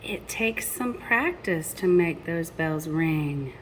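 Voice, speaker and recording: Woman doing storytime for little kids, really unhurried and chatty, slightly low voice. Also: animated, a little hiss or hum. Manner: addresses young listeners with questions and explanations. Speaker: storybook reader. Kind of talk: expressive children's picture book read-aloud with chatty asides.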